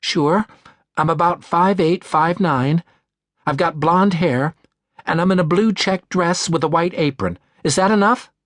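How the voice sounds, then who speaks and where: Man voicing an anxious young woman, pitched high. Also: measured pace, fairly bright tone, no echo, clean studio sound. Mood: uneasy. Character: anxious young working woman, a maid phoning for help.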